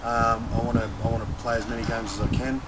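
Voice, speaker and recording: A young Australian man, a rugby player, speaking casually and a little haltingly in an outdoor interview. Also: medium pitch, little pitch movement. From Newcastle, Australia. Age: young adult. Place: open-air stadium stand by a running track. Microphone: camera mic a short distance away. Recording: loud outdoor wind and ambient noise.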